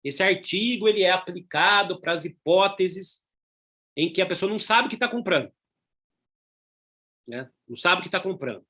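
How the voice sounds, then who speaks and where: A man's voice: high-pitched, animated, moderate pace, pauses often, pushed and bright. A middle-aged man with a Brazilian Portuguese accent giving a conversational law lecture, full of tag questions and asides. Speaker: law professor.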